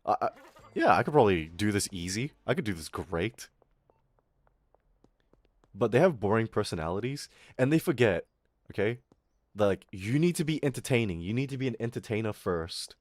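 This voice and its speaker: Animated Australian man ranting on stream. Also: lowish voice, normal speed, pauses often, dry recording, studio quality. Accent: Australian with some American. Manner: animated, swearing, mocking voices. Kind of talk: casual ranting stream chat.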